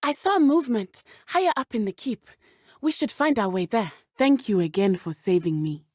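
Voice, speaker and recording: A woman's voice: fairly high pitch, expressive, moderate pace, a little mellow, no echo, studio quality. A woman playing an earnest, brave teenage schoolgirl witch in a video game, speaking in formal, precise phrases. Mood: urgent. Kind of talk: video game character dialogue.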